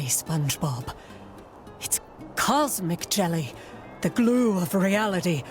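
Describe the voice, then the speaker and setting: A woman's voice: mid-range voice, a little mellow, natural voice. A woman playing a sly fortune-teller sorceress in a cartoon game, grand, coaxing and falsely sweet. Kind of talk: exaggerated cartoon game acting.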